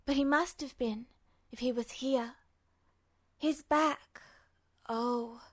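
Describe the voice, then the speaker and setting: Woman reading a story like an audiobook, pretty high voice, slow and measured w dramatic pauses. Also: fairly even intonation. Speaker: amateur audiobook reader. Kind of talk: scripted fiction narration, podfic.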